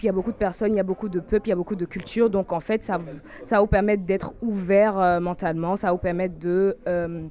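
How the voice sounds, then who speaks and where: A woman's voice: medium pitch, warm tone. A woman in her twenties from Belgium, a pageant candidate, earnestly explaining her project. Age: 20s.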